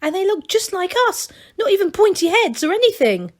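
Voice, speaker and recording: Woman voicing high, eager young gnome Angelo. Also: big pitch swings, fairly quick. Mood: excited. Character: excitable young male gnome, grand manners.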